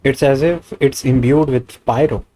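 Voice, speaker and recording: A man's voice: mid-range voice, steady tone, moderate pace, crowd noise. A man with an Indian accent, a native Hindi speaker, giving casual live commentary as a game streamer. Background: game music.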